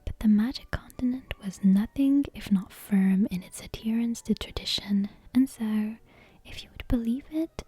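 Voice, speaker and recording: A woman speaking very softly in a breathy near-whisper close to the microphone, gently hosting bedtime-story ASMR. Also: on the high side, normal speed, few pauses, no echo. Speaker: ASMR channel host. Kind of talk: soft-spoken, whispery ASMR, intro and bedtime story reading. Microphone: close ASMR microphone with headphone monitoring.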